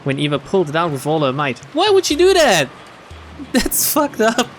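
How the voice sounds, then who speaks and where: A man with a slightly high voice reads a visual novel aloud on stream. He drops in wry, casual commentary between passages. Soft game music plays behind him. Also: huge pitch swings, moderate pace. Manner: wry, chuckling asides, casual swearing.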